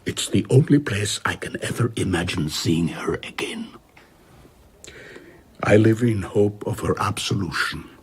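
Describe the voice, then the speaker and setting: An actor playing a haunted, wistful older former traveller, speaking in a husky voice and reflective, earnest manner in an audio drama. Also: male voice, on the low side, a little slow, whispered. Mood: regretful. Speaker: narrator and central character. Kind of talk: audio drama narration and dialogue.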